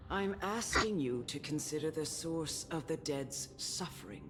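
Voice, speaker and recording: Wise old death guardian in a game, really slow, swoopy solemn voice, music behind. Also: mid-range voice. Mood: solemn, guiding. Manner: measured, solemn, storytelling. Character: grave, wise, ancient guardian of death counseling a child. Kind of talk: solemn video game voice acting.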